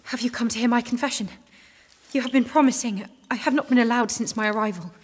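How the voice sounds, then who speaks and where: A woman's voice: a little high, fast, a little hiss or hum, close to an everyday voice. A young woman playing a devout peasant maiden held prisoner in an audio drama. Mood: hopeful. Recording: long echoing reverb.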